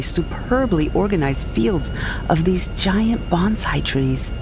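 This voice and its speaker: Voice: mid-range voice, creaky. A narrator telling a first-person sci-fi comedy story in a florid, dramatic, scripted storytelling style. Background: music behind the voice.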